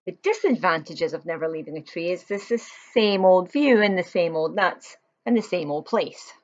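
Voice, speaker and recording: Low-voiced woman reading a picture book, muffled recording. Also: normal speed. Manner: expressive, explanatory, addressing young listeners. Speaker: storyteller reading aloud. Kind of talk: read-aloud of a children's picture book with chatty asides.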